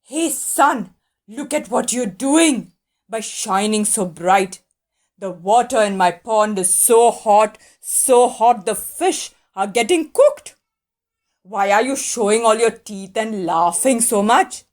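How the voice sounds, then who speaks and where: A grumpy male hippo, as a woman puts him on, hurling insults at full volume. His high, animated scolding rises and falls. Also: takes it slowly. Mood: irritated. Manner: loud scolding, name-calling. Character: grumpy rude male hippo, hot and irritated.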